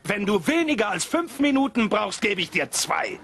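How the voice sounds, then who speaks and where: A man playing an excitable old miser turned generous in a German cartoon dub, theatrical and given to exclaiming. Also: high voice, moderate pace, creaky, noisy background, exaggerated voice. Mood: delighted, eager.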